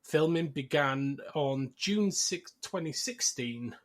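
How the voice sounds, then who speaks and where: A man with a slightly high-pitched voice hosts a podcast. He talks casually about film, as in a relaxed discussion among co-hosts. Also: takes it slowly. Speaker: podcast host.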